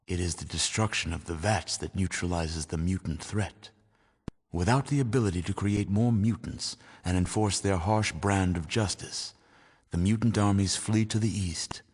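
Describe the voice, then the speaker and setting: A man playing a grave storyteller who narrates the fate of a post-apocalyptic world in a solemn, measured, portentous manner for a video game. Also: sits low, a little mellow, slightly rough, heavily acted. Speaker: narrator of a game's endings. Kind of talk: scripted dramatic narration of an epilogue. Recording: long reverberant hall echo.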